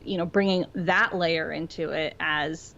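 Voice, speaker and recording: A woman's voice: sits low, fairly even intonation, unhurried, creaky, fairly bright tone. A woman co-hosting a podcast, explaining her thoughts in a casual, reflective way on slightly lo-fi audio. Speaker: podcast co-host. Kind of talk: casual pop-culture discussion.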